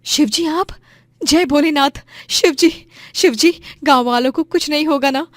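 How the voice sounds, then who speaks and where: A woman's voice: high-pitched, measured pace, dry recording, studio quality, pitched above the performer's own voice. A woman voicing a pious, brave and righteous village food-stall owner, fervent and emphatic, in a cartoon moral story. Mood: worried.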